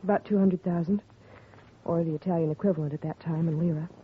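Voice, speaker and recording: An actress playing a grieving young woman whose father has been murdered, speaking softly and earnestly in a 1950s radio drama. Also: fairly low pitch, fairly even intonation, quick pace, slightly rough. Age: young adult. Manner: soft and earnest, recounting quietly. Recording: tinny lo-fi 1950 radio broadcast with faint hiss.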